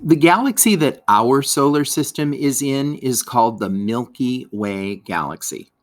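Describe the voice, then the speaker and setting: Guy doing a kids lesson read-aloud, teacher style, really animated up and down pitch, dry sound no echo. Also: unhurried. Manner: expressive, explanatory, addresses young listeners directly. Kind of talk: scripted educational read-aloud for children.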